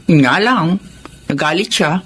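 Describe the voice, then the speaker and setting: A woman playing a kind, fair-minded restaurant boss in a Filipino radio drama, calm and reassuring, with a Filipino accent. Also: low-pitched, a little slow, a little hiss or hum. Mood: reassuring, understanding.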